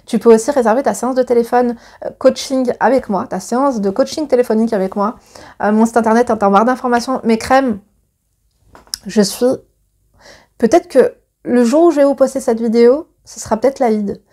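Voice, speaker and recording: A woman's voice: a little high, a little fast, mellow. A woman in her thirties chatting to her viewers and reading a letter aloud, gushing and full of fillers and restarts.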